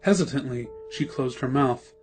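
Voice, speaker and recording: Male story narrator over clear music. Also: mid-range voice, moderate pace.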